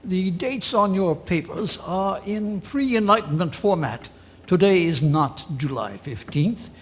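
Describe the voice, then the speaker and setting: Male actor as officious revolutionary bureaucrat, highish voice, animated intonation, pompous, formal, radio play. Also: slow pace, slight background noise. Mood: officious, haughty. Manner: stiff, pompous, throat-clearing formality. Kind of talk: radio theatre acting.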